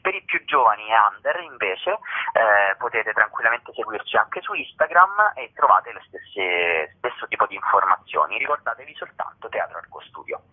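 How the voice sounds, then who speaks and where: Low, husky man on a phone line. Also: very animated, normal speed. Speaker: theatre representative, interview guest. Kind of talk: conversational radio interview. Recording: muffled narrow phone line.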